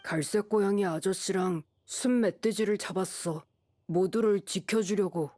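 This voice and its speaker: A woman voicing a shy, earnest teenage wild boy in an anime game, speaking in halting, simple phrases. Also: medium pitch, mellow, close to an everyday voice. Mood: quietly glad.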